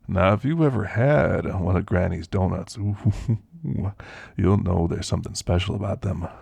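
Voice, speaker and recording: Elderly werewolf , male actor, reflective ASMR storyteller: husky, weathered rasp, unhurried folksy delivery, close and dry recording. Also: deep voice, darkish tone, no echo, exaggerated voice. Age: older. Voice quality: gravelly, husky. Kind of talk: ASMR roleplay monologue.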